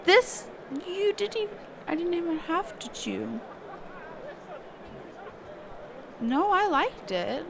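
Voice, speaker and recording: Woman acting a high-voiced, hesitant sheltered mage. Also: creaky, background noise, exaggerated voice. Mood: surprised, curious. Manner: halting, hesitant, trailing off and self-correcting. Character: sheltered female mage teacher, hesitant, wistful, curious. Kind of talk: one-sided roleplay audio drama, conversational.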